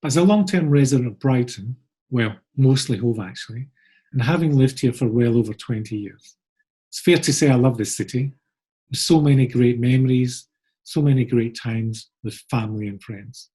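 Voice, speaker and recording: Upbeat Scottish man, mellow husky voice. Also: mid-range voice, normal speed. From Scotland. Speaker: agency co-founder presenting. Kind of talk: pre-recorded conference talk.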